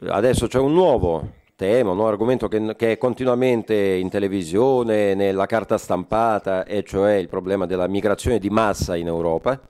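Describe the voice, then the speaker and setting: A man interpreting a forum speech live, halting often with fillers and correcting himself mid-phrase. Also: fairly low pitch, a little mellow, clean tone. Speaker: conference interpreter.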